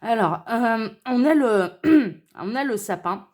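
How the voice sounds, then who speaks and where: A woman's voice: a little high, lively intonation, slow, darkish tone. A woman with a slight cold and a sore throat, reading cards for her viewers in a chatty way, tagging her sentences with little check-ins. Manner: chatty, many "hein" and "d'accord" tags. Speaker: tarot card reader. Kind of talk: spiritual card reading to viewers.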